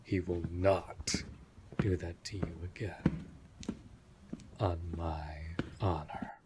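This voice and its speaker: A man playing a protective, commanding vampire master in an audio roleplay, speaking in a formal, measured, authoritative way. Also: low-pitched, steady tone, heavy background noise. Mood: protective, reassuring. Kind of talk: scripted audio roleplay acting.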